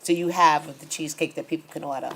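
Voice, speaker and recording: A woman with a fairly low voice hosts a conversational interview. She talks informally and affirms her guest in an encouraging manner as she asks questions. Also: fairly even intonation, fast talker, poor audio. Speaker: show host, interviewer.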